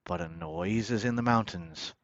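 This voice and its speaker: A man giving a dramatic poetry recitation in a declamatory manner, moving between hushed line endings and loud proclamations. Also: fairly low pitch, normal speed, no room sound, muffled recording. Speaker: poetry reader.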